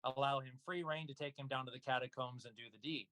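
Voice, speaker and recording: Male interview guest, mid-range voice, dry sound, measured explanatory talk. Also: mid-range voice, steady tone, fairly bright tone, no room sound. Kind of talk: casual conversation.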